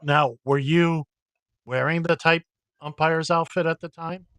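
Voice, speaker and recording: A man's voice: on the high side, fairly even intonation, a little slow, fairly bright tone, smooth. A man co-hosting a casual radio show, trading jokes and teasing his co-host. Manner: teasing, joking.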